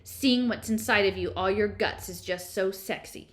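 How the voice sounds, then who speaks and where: A woman's voice: medium pitch, moderate pace, close to an everyday voice. A woman reading an audiobook voices a mean teenage girl with a snide, cutting way of talking.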